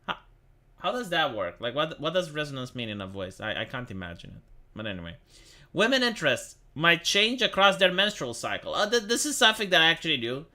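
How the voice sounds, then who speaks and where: A man's voice: a little high, big pitch swings, fairly quick, sharp tone. A young man from Romania hosting a casual livestream, chatting with his chat and reading articles aloud, with many restarts and the odd swear. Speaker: livestream host.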